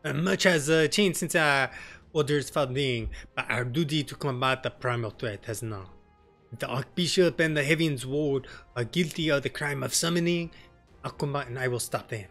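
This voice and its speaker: Man playing an earnest, bright young noble. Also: medium pitch, normal speed, natural voice. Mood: earnest, determined. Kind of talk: fantasy game dialogue reading. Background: game music.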